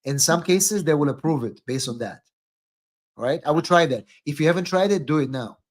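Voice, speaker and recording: A man's voice: fairly high pitch, animated, fairly quick. A man speaking off the cuff as an attorney hosting a live call-in stream, often repeating words and tagging sentences with "right?".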